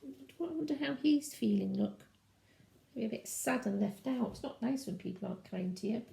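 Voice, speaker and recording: A woman's voice: fairly high pitch, expressive, moderate pace, vocal fry, warm tone, reverberant. A woman reading a children's storybook aloud expressively, in a sing-song way, with asides to young listeners.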